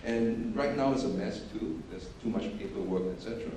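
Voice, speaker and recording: Man, lowish voice, mellow, matter-of-fact, many restarts, echoey hall, distant. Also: brisk, slight background noise. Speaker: panelist. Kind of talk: panel discussion.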